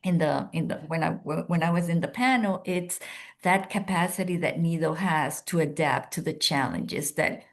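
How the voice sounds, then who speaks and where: Woman, low-pitched, halting and searching for words, video call. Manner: halting, restarts often. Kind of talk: video call panel discussion.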